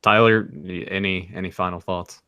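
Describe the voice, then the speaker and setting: A man's voice: sits low, steady tone, normal speed, pushed and bright, clear, resonant tone, no room sound, clean studio sound. A man hosting a casual podcast chat, with a joking, teasing manner. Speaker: podcast host.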